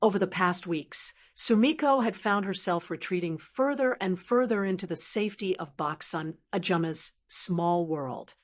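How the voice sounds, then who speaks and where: Measured woman audiobook narrator. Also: fairly low pitch. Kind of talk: scripted narration.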